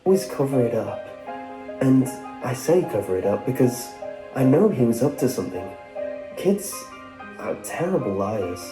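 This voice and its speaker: A man reading a scripted first-person story as a narrator, with a dark, muted tone. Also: mid-range voice, big pitch swings, moderate pace. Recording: roomy sound with music behind. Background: background music.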